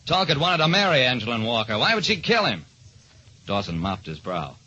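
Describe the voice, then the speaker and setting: A man's voice: medium pitch, very animated, brisk, pushed and bright, slightly rough, heavily acted. An actor, a man, playing a hard-boiled private detective who narrates his case in a clipped, dramatic old-radio style. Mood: wry, dramatic. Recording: dull old-time radio recording.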